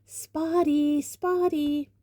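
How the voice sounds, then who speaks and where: Woman voicing a sly, mean poltergeist prankster, dark toned. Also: mid-range voice, slow, no room sound, clean studio sound. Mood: gleeful bellowing. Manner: taunting, hissing and bellowing.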